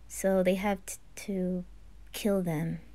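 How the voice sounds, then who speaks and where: A woman voicing a cool, sly boy in an anime fan roleplay, quiet, low-key and unhurried. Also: little pitch movement. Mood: calm, secretive.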